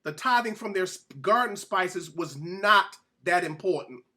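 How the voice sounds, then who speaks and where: Guy with a pretty high voice doing an informal bible lesson, repeats himself to drive points home. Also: lively intonation, measured pace, sharp tone. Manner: emphatic, repeats phrases for stress, puts questions to listeners. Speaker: Bible teacher presenting a show. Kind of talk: informal teaching sermon with read-out scripture.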